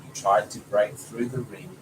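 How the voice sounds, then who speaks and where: A man's voice: on the low side, fairly even intonation, normal speed. A man reading a children's story aloud as a father does for his child. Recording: noisy home recording, clear background noise.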